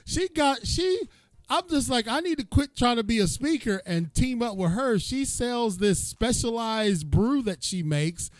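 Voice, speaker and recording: A male host with a notably high voice, talking in a folksy, joking way. The sound is close and dry, with no room echo. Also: lively intonation, a little slow. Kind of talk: casual interview chat.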